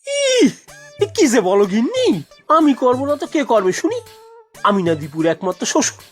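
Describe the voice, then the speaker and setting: A woman's voice: high-pitched, huge pitch swings, moderate pace, soft background music, heavily acted. A woman voicing a comic, boastful, food-loving father in a cartoon, with blustering, exclaiming delivery and emphatic little tag words. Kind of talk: exaggerated cartoon voice acting.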